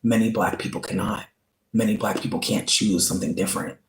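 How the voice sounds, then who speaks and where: A man's voice: fairly low pitch, fairly even intonation, fairly quick, vocal fry. Channel host, male, earnest and pleading, repeats himself, room echo. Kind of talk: direct-to-camera commentary.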